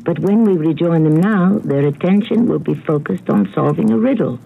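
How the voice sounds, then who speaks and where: A man narrating a scripted 1970s radio mystery as host, measured, dramatic and suspenseful. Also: high-pitched, creaky, soft background music. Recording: tinny, narrow vintage radio broadcast.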